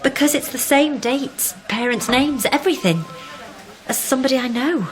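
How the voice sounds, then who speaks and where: A woman's voice: sits high, expressive, moderate pace, soft background music, rough recording. Polite woman writer asking probing questions, natural radio drama style. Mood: disbelieving, insistent.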